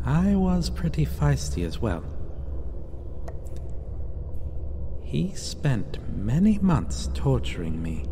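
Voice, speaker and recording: A man as a slow, dark-voiced possessive vampire. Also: mid-range voice, big-room sound, a little hiss or hum. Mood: reflective. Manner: soft, doting and controlling, drops into whispers. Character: possessive centuries-old male vampire captor. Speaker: ASMR roleplay voice actor. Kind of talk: ASMR roleplay.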